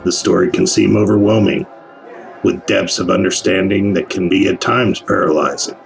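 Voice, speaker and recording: Man playing a deep, gravelly, cryptic storyteller. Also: fairly even intonation, moderate pace, soft background music, poor audio. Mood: solemn. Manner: solemn, portentous. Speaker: narrator. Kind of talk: scripted radio drama narration.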